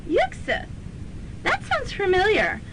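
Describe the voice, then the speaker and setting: A woman playing an excitable, sharp-tongued young college student in a comic radio drama. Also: very high voice, full character voice. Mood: chirpy, uneasy. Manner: animated, brisk comic delivery. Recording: slightly noisy student radio recording.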